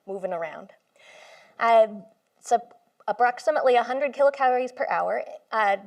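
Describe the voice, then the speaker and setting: A woman's voice: medium pitch, darkish tone. A woman computational biologist giving an informal lecture to a live audience in a room, speaking with enthusiasm and explaining with everyday comparisons. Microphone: handheld or stand mic, moved closer at one point.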